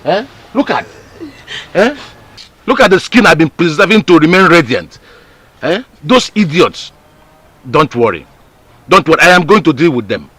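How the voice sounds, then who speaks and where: A man's voice: a little high, sing-song, normal speed, long pauses, sharp tone, breathy edge, no room sound, heavily acted. An actor playing an indignant, protective middle-aged village father. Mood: outraged. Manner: outraged, rhetorical "eh?".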